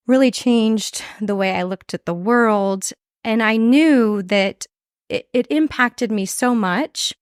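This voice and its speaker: A woman from Montana, a guest author telling her story in a measured, descriptive way. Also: medium pitch, clean tone, dry recording, studio quality. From Montana, USA. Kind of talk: interview storytelling.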